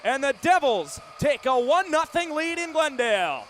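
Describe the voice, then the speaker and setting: A man's voice: extremely high pitch, very animated, moderate pace, fairly bright tone, slight background noise. A man in his twenties doing live radio play-by-play of a hockey game in clipped, vivid running narration. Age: 20s. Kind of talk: live radio sports commentary.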